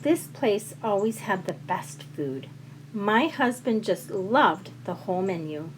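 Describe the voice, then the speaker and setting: A polite woman diner from an audio story, played by a woman narrator. Her manner is courteous and a touch dramatic. The pitch is middling, with slight noise behind it. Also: very animated, takes it slowly, low-quality recording. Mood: delighted. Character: polite, apologetic woman returning after years away.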